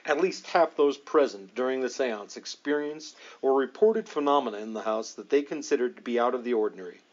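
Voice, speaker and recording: Guy doing a serious ghost hunter man in an audiobook, emphatic, halting, pitch moves a lot, lo-fi. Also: expressive, lightly acted. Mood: grave, emphatic. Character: grave, emphatic male ghost investigator recounting an ordeal. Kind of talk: audiobook dialogue reading.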